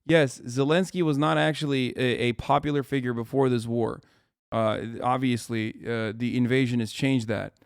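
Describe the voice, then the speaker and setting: Male streamer, heated profane reaction commentary, medium pitch, dry close sound. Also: fairly even intonation, moderate pace, vocal fry, clean tone, dry recording. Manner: heated, profane ranting with emphatic repetition. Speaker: streamer reacting to a news video.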